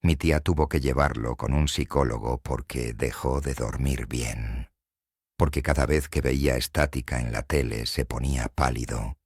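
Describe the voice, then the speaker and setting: A man's voice: a bass voice, no echo, clean studio sound. A man with a Mexican accent narrating a scripted horror story in a grave, suspenseful manner.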